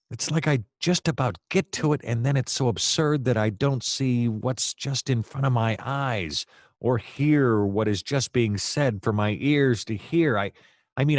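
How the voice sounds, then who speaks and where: An actor playing a hapless, excitable private detective in a comedic noir audio play, rambling and theatrical in his exasperation. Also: mid-range voice, no room sound, clean studio sound, close to an everyday voice. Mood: exasperated.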